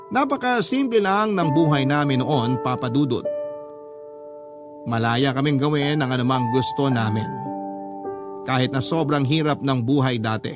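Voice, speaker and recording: A man narrating a first-person letter as a radio drama storyteller, in a dramatic scripted style. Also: fairly high pitch. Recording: loud music bed under voice. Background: loud instrumental music.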